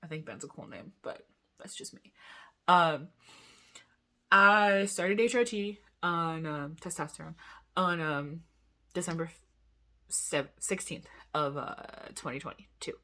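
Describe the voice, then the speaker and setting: A man's voice: fairly high pitch, unhurried, long pauses, sharp tone. A young man vlogging in a casual, rambling way, full of asides and giggles. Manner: chatty, giggly, talks in loose asides. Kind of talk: casual rambling chat to camera.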